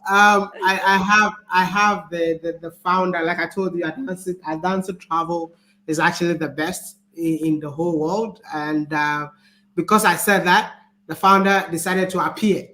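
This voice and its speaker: A man's voice: high voice, steady tone, normal speed, sharp tone. A man hosting a casual online chat, upbeat and laughing, with stammered phrasing. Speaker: host.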